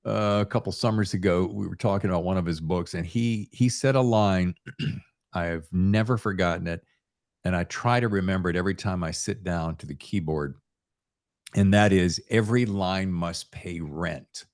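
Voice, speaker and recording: A man with a fairly low voice hosts a relaxed podcast interview. He speaks colloquially and with enthusiasm, in a folksy, conversational way. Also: normal speed. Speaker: podcast host. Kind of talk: casual interview chat.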